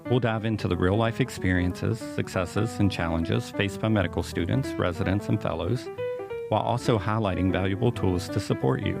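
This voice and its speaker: Low gravelly man hosting a podcast. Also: moderate pace, loud music behind the voice. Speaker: podcast host. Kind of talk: scripted podcast introduction and interview.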